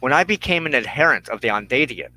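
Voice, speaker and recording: A man hosting a religious broadcast, giving a solemn, measured sermon of personal testimony and teaching with emphatic phrasing and deliberate pauses. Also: mid-range voice, lively intonation, pushed and bright, poor audio.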